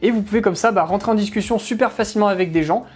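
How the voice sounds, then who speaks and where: A woman explains a product test in an informal, chatty style. She talks at a brisk, rapid pace, with music playing softly behind her. Her delivery is that of a casual reviewer addressing viewers directly. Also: mid-range voice, breathy edge. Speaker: motorcycle gear reviewer.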